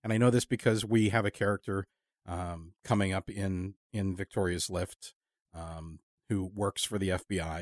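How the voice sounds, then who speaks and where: A man hosting a casual long-form interview, talking in a thoughtful, halting, discursive way. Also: lowish voice, no room sound, clean studio sound. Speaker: podcast interviewer.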